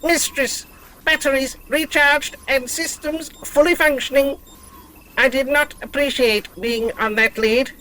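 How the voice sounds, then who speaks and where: A man's voice: extremely high pitch, steady tone, measured pace, bright, forceful tone, slight background noise, heavily acted, low-quality recording. A man voicing a dutiful, literal-minded robot dog in an audio drama. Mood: prim. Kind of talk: fan audio drama acting.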